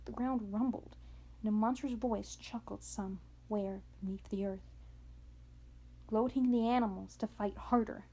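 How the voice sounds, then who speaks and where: A woman reading a children's novel aloud in a halting way, often stumbling and going back over words. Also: mid-range voice, steady tone, dark tone, breathy edge. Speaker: amateur audiobook reader.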